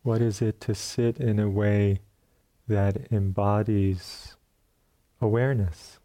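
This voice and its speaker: A man leading a guided meditation as a teacher, speaking in short, unhurried phrases with long pauses between them. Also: fairly low pitch, fairly even intonation, dark tone.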